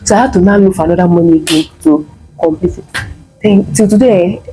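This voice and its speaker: A woman's voice: on the low side, fairly quick, faint music behind, low-quality recording. Chatty Nigerian woman telling a story. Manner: chatty, animated retelling with quoted dialogue and laughter. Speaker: vlogger and online MBA student. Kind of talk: casual storytelling to camera.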